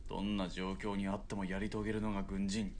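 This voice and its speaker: A cynical, short-tempered soldier acted by a man in a military radio drama. His low voice is gruff and rough, with faint noise around it. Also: flat delivery, quick pace, darkish tone. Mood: irritated. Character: surly, hot-tempered army spotter, cynical war veteran.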